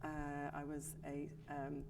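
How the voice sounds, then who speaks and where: A woman's voice: sits low, monotone, unhurried, vocal fry, darkish tone. Dublin Irish woman presenting in a hall. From Ireland. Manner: chatty and self-deprecating, many ums. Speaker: conference presenter. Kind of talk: live conference talk. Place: large conference room. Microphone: podium microphone. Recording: long hall reverb, faint room noise.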